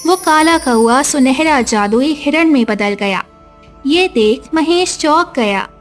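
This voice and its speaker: A woman's voice: high voice, expressive, slow pace, faint music behind. A woman narrating a scripted moral story for a cartoon, as a storyteller.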